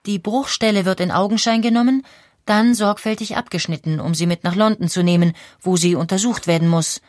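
Calm woman narrating a documentary. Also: medium pitch, fairly quick, dry recording, clean studio sound.

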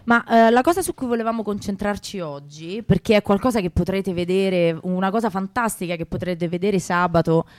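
A woman's voice: mid-range voice, a little fast, few pauses, a little mellow, dry recording. A woman presenting in a conversational, unscripted radio style. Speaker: radio co-host.

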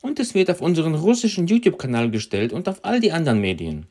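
Lively church interpreter, man. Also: fairly high pitch, quick pace. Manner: renders each phrase right after the preacher. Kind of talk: live sermon interpreting.

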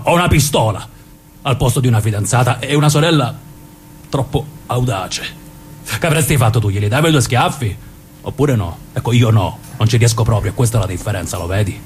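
Gruff southern Italian brother, played by an actor, slightly noisy. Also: male voice, mid-range voice, close to an everyday voice. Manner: colloquial, blunt, naturalistic. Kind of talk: dramatic reading of a radio play.

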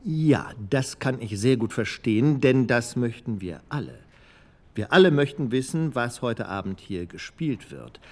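Lively, ceremonious radio announcer. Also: male voice, mid-range voice, a little slow. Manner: ceremonious, emphatic. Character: courteous, formal radio presenter appealing to listeners. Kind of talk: radio play announcer acting.

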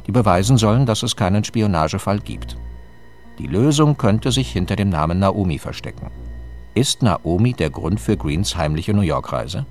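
A man with a low voice delivers a scripted recap as a radio drama announcer. Music plays clearly underneath his narration. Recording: music behind the voice.

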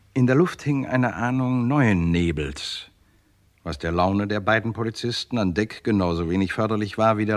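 A male actor as a sober narrator in a 1960s radio crime drama. His voice is on the low side. The delivery is scripted storytelling. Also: lightly acted.

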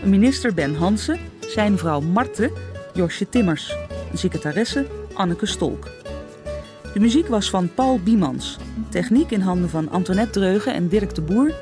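A woman announcer reading the credits of a radio drama series calmly. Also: medium pitch, normal speed, over music.

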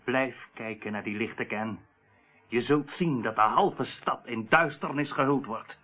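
A man's voice: mid-range voice, measured pace, narrow, tinny audio, natural voice. An actor playing a boastful, cold and ruthless male crime syndicate boss in a radio play, with a mocking, menacing manner. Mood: smug, gloating.

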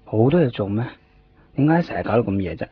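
A man's voice: lowish voice, big pitch swings, fast. A man playing a fussy, penny-pinching office manager in a radio drama, nagging with repeated questions. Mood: brisk, probing. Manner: nagging, repetitive rhetorical questions. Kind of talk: Cantonese radio drama acting. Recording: muffled lo-fi radio recording, faint music.

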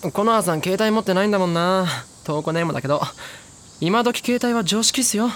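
An actor playing a brash, casual high school boy with slangy, pushy speech in an audio drama. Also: quick pace, fairly bright tone, a little hiss or hum. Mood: breezy. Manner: casual junior slang, breezy. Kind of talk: Japanese audio drama acting.

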